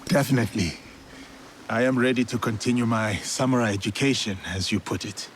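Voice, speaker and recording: An actor playing a stoic, loyal, foreign-born samurai warrior in a video game, speaking tersely and solemnly. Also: male voice, lowish voice, a little fast, a little hiss or hum, natural voice. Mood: polite, at ease. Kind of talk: video game cutscene acting. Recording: loud music behind the voice. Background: music.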